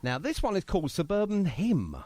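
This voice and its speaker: A man's voice: sits high, huge pitch swings, a little fast, a little mellow, no room sound. A man hosting a radio show, chatting casually as he interviews a guest, full of jokey exclamations.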